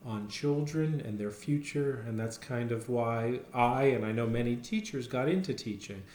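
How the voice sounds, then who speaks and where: A man reading a children's picture book aloud with expressive storytelling, recorded in an echoey room. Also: mid-range voice, normal speed.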